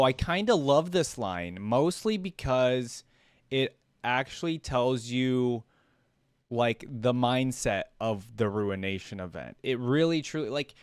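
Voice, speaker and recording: A man with a fairly low voice gives casual reaction commentary. He is informal and slangy, musing aloud as he goes. The sound is close and dry, without room echo. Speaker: reaction video host.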